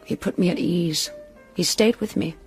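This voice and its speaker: A woman's voice: on the low side, normal speed, creaky, a little mellow, slightly rough, exaggerated voice. An actress playing a wistful, romantic young woman in a radio drama, with a dreamy, dramatic storytelling manner. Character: romantic, wistful young woman, stage performer with smuggler brother. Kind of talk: intimate radio drama acting. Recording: radio drama with music underneath.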